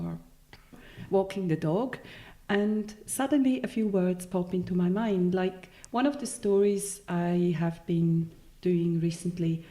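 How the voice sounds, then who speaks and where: A Swiss-born woman with a Swiss German accent, a novelist answering interview questions in a thoughtful, halting way that often corrects itself. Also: on the low side, mellow. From Switzerland. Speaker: novelist guest.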